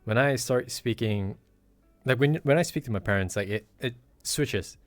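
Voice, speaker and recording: A man's voice: mid-range voice, normal speed, dry recording, soft background music. A man from Malaysia chatting candidly on a podcast, casual and with a bit of swearing. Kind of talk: casual group chat.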